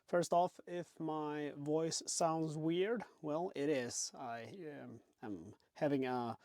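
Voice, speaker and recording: Guy with a raspy sick voice chatting about a board game, kinda high pitched, dry close mic. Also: takes it slowly, no echo. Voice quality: raspier than usual. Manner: relaxed, many fillers and restarts. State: sick with a cold-like illness, raspy. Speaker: board game channel host. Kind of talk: casual component walkthrough.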